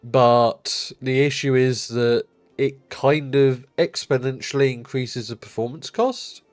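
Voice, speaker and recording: A man's voice: mid-range voice, steady tone, slow, no room sound, soft background music. A man streaming a city-building game, chatting casually and rambling, his nose blocked up because he just rolled out of bed.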